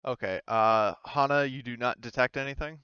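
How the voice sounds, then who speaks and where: A man running a tabletop game as game master, narrating casually and hesitantly as he thinks out loud. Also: mid-range voice, moderate pace, clear, resonant tone, dry recording. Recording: slightly dull, dry close recording.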